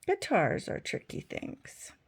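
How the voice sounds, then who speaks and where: A woman voicing a kind, patient female zebra in a children's storybook, speaking gently and slowly. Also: natural voice.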